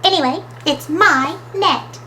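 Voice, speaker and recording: A woman voicing Smudge's part as an eager, stubborn young female animal in a children's storybook, bright and childlike. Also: very high voice, moderate pace, a little hiss or hum, pitched above the performer's own voice, exaggerated voice.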